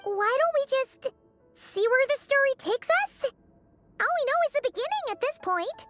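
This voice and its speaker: A woman voicing a small, curious, excitable sprite girl in a video game, with a childlike squeaky voice. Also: moderate pace, faint music behind. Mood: eager. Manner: exclamatory, inquisitive. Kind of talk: video game character acting.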